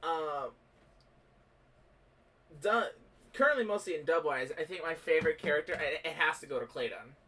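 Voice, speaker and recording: A man's voice: on the high side, lively intonation, normal speed, pauses often, pushed and bright. A man chatting casually as a panelist in a group voice call. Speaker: voice actor on a fan dub panel. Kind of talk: casual chat. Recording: distant microphone.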